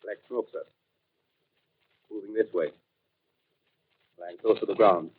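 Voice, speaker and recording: An actor playing a grim, dutiful soldier observer giving terse, subdued reports in a 1930s radio drama. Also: male voice, fairly low pitch, steady tone, slow, breathy edge. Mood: grim, uneasy. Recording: noisy, tinny 1938 radio broadcast.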